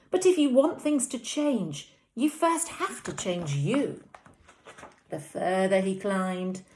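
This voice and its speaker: A woman with an English accent, a teacher speaking and reading to young children in an expressive, dramatic storytelling way. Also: medium pitch. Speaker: infant school teacher. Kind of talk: reading a picture book aloud to young children.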